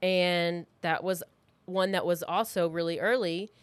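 A woman's voice: lowish voice, fairly even intonation, unhurried, no echo. A woman co-hosting a true crime podcast, telling the story informally from her notes in a conversational way.

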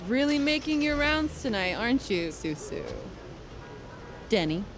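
An actress playing a sardonic, contrarian young woman with a deadpan, needling delivery in audio fiction. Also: high-pitched, measured pace, music in the background. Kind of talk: naturalistic audio fiction acting.

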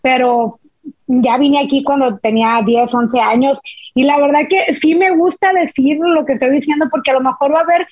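A woman's voice: high-pitched, steady tone, normal speed, a little mellow, dry recording, highs cut off. A woman from Monterrey, Mexico, a guest on a chat show, speaking frankly and emphatically. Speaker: guest, community show host. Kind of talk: casual chat.

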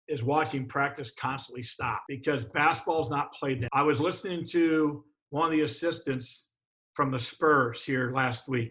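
A man's voice: a little high, bright, forceful tone, low-quality recording. A middle-aged man, a basketball coach, talking practice in casual chat, emphatic and often restarting his sentences. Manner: emphatic, repeats words while restarting sentences.

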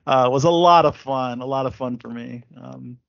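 A man's voice: mid-range voice, normal speed, creaky, no echo, lo-fi sound. A man hosting a casual podcast panel, upbeat and laughing often, with plenty of fillers. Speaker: podcast host.

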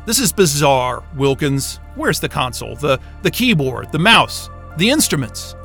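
An actor playing an irreverent, wisecracking astrophysicist in a sci-fi audio drama, with a sarcastic, informal and exasperated way of talking. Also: male voice, on the high side, sing-song, a little slow, creaky, bright, forceful tone, faint music behind, exaggerated voice. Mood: bewildered. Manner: sarcastic, informal, hesitant muttering and outbursts.

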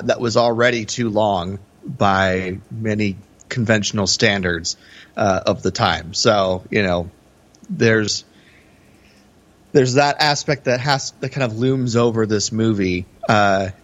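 A man's voice: lowish voice, a bit bright. Man podcasting in slightly dull audio. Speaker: podcast co-host. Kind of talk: casual film discussion.

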